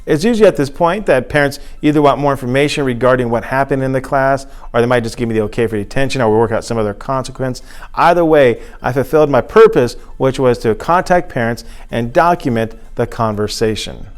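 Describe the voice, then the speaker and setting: A man's voice: medium pitch, a little fast, clean tone, soft background music. A man giving an informal instructional talk as a teacher, explaining things conversationally and repeating phrases for emphasis. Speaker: teacher and presenter.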